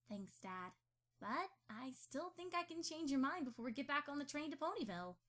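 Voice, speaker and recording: A woman's voice: high voice, very animated, normal speed, fairly bright tone. A woman playing an earnest, affectionate young mare daughter in an amateur audio drama. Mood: soft, hopeful.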